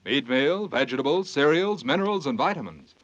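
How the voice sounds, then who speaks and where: A man as a commercial announcer giving an emphatic 1940s radio sales pitch. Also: lively intonation, a little fast, a bit bright. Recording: muffled 1940s radio broadcast.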